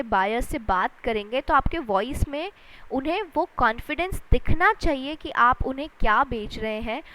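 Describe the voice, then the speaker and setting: A woman lecturer with an Indian accent and a high-pitched voice. She explains step by step in a teacherly manner, restating each point and putting weight on the key words. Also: a bit bright, a little hiss or hum, rough recording. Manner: didactic, repeats and rephrases points, stresses key words. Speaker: English lecturer. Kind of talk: explanatory lesson switching between Hindi and English.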